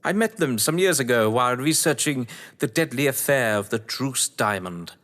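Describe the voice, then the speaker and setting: An actor playing an urbane, cunning English crime novelist in an audio drama, pompous, condescending and wordy. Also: male voice, medium pitch, lively intonation, moderate pace, a bit bright, natural voice. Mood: smug, persuasive. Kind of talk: theatrical audio drama acting. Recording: echoey hall-like reverb.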